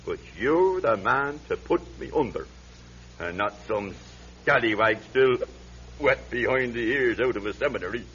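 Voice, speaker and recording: A man plays a guilt-ridden old Irish drunkard, frail and fearful. His halting, theatrical lament swings widely in pitch, in an Irish accent. It is classic radio drama, heard through a dull vintage recording with a faint hum. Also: animated, a bit bright, natural voice. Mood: agitated, stubborn.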